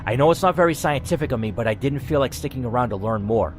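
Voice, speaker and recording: A man's voice: mid-range voice, animated, fast talker, faint music behind, lightly acted. A man voicing a terrified, guarded woman scientist in her late thirties from a horror game story. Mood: afraid, warning. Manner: fearful, guarded.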